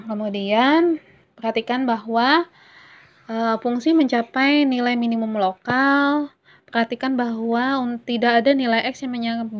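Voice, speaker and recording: High-voiced woman teacher, lo-fi sound. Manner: patient, self-correcting, stretched-out pauses. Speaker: math teacher. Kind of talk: explaining a worksheet lesson.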